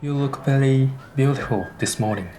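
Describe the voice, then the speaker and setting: A man's voice: medium pitch, normal speed, warm tone, soft background music, lightly acted. A man playing a tender, resolute aging soldier in love in a radio drama.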